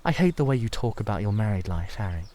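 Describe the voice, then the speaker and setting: A man voicing a sensitive, secretive young painter in a dramatised audiobook, earnest and halting as he confides. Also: on the low side, sing-song, mellow, a little hiss or hum. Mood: mildly reproachful.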